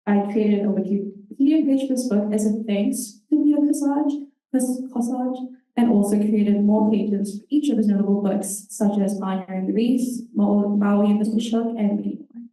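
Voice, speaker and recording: A woman's voice: medium pitch, warm tone. Young New woman presenting, distant, roomy. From New Zealand. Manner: earnest, halting with fillers. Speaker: intern presenter. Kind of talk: conference presentation. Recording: roomy hall, distant mic.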